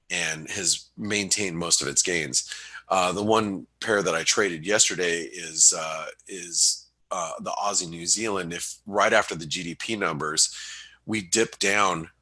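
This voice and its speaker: Stuffy-nosed man, low husky voice. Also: sharp tone. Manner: halting, many restarts and fillers. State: nasal from a cold, sniffly. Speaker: webinar host giving market analysis. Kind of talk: casual trading commentary.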